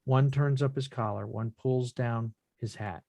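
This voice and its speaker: A man narrating scripted screen action in measured, deliberate short phrases as the reader of stage directions. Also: mid-range voice, fairly even intonation, creaky, a little mellow, no echo.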